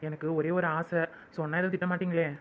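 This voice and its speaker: Guy doing a high quick emotional young wife, pleads n prays, tinny phone sound. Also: little pitch movement, slight background noise, close to an everyday voice. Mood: coaxing. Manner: pleading, prayerful, weepy with joy. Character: young hopeful wife, newly pregnant, emotional. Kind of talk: home-made skit acting.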